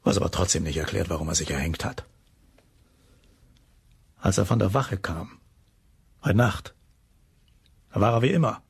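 Wanted: radio-play lighthouse man, deep and heavily raspy, reflective, troubled, conscientious. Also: animated, moderate pace, long pauses, full character voice. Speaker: actor in an audio drama. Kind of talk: German crime radio play acting.

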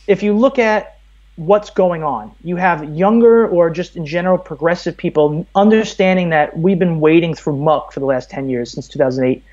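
A man's voice: fairly high pitch, darkish tone. American man, dull lo-fi audio. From Brooklyn, New York, USA. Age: 30s. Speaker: podcast guest. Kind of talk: conversational interview, opinionated.

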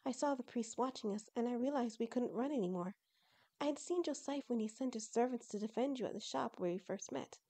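A woman voicing an excitable, earnest young female fox creature in an audio story, eager and emphatic. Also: on the high side, a little fast, pitched above the performer's own voice.

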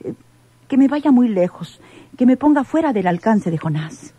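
A woman's voice: a little high, sing-song, normal speed, breathy edge, dull audio. An actress with a Mexican accent playing a frightened, pleading woman in a radio drama, speaking hesitantly, as if confessing. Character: frightened, pleading woman caught between dangerous men. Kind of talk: old-time radio drama acting.

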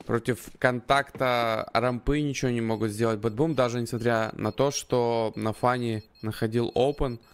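A man's voice: medium pitch, fairly even intonation, normal speed, few pauses. A man working as an esports commentator, calling and analysing a live match. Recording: noisy, gunfire and clicks behind commentary. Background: game gunfire and clicks.

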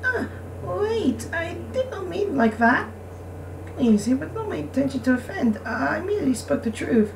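A woman's voice: high-pitched, very animated, measured pace, vocal fry, roomy sound, pitched above the performer's own voice, heavily acted. A woman voicing a formal, haughty young noble swordswoman from a visual novel game. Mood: flustered. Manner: formal, prim, earnest. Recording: distant mic, faint background noise.

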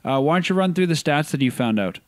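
A man co-hosting a podcast, giving opinionated, animated takes in casual sports chat. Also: a little high, fast talker, no echo. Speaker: podcast co-host.

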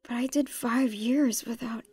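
An actress plays a young woman in a fan-made drama, earnest and stubborn but worn down. Her voice sits a little high with a husky grain. The sound is dry and close. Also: steady tone, a little slow, darkish tone, no echo. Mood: pained, downplaying. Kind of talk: fan audio drama acting.

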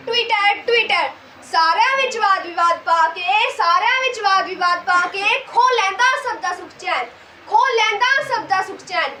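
A woman's voice: very high voice, sharp tone. A young female performer playing a menacing, boastful social media villain in a stage play, chanting and repeating lines. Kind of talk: school stage play declamation. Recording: echoey stage, distant mic, faint noise.

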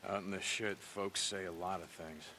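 An actor playing a gruff, terse, sardonic drifter biker with a gravelly voice in a video game cutscene. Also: male voice, sits low, fairly even intonation, moderate pace, noisy background, natural voice. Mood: dry, subdued. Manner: terse, sardonic, swears when angered. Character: gruff, hot-tempered drifter biker man in post-apocalyptic wilds.

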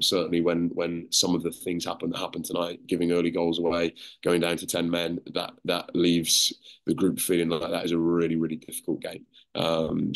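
A man in his thirties, a head coach, calmly answering postgame questions over a video call. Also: sits low. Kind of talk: postgame press conference answers.

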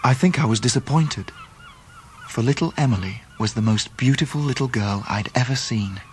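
A man plays a gentleman looking back on his boyhood in a radio dramatisation. His is measured and literate, at medium pitch. Faint noise sits behind the narration. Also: close to an everyday voice. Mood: reminiscent. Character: grown gentleman fondly recalling his Victorian boyhood.

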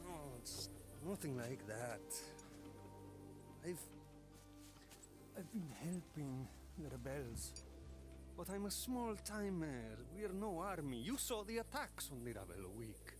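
An actor playing an excitable, loyal small-time rebel in his thirties in a game, expressive and emphatic. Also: a little high, slow. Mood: wistful, uneasy. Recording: music under the dialogue.

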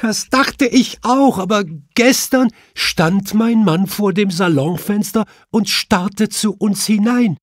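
A man's voice: sits high, takes it slowly, hardly any pauses, no room sound, clean studio sound, voice pushed up. A man voicing a distraught, weeping widow in an audiobook, shaken and tearful.

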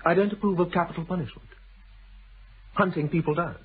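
A man's voice: sits high, a little fast, husky. An actor playing an uneasy, evasive, educated motorist with a British accent in a radio thriller, clipped and defensive in his speech. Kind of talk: British radio drama acting. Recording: muffled band-limited old radio broadcast.

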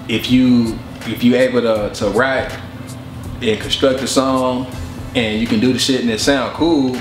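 A man in his twenties, a rapper talking casually and reflectively in an interview. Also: medium pitch. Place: living room couch indoors. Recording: slightly roomy indoor sound, faint music behind.

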